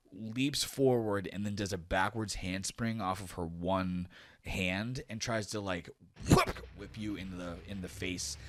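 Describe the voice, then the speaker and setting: A man narrating a tabletop fantasy game as game master in casual, slangy, animated storytelling. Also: fairly low pitch, no room sound.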